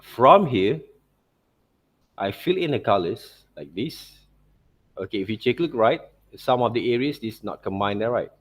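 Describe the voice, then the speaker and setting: A man narrating an informal art software tutorial as a teacher, in loosely phrased non-native English, often checking in with short tag words. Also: lowish voice, animated, unhurried, pauses often. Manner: repeats check words like okay and right.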